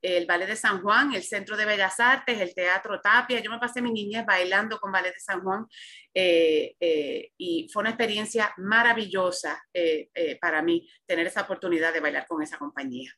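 A Puerto Rican woman, a law professor, answers interview questions in a bright, clear voice. She speaks thoughtfully and haltingly, often pausing on "eh" as she gathers her words. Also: mid-range voice. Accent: Puerto Rican Spanish. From Puerto Rico. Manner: thoughtful, halting, with frequent "eh" pauses.

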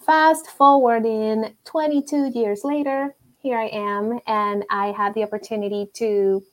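A woman's voice: on the high side, fairly even intonation, a little slow. A woman in her forties, a therapist from Venezuela, speaks English. She tells her story reflectively with many ums, in a casual interview tone. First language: Spanish. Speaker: therapist guest.

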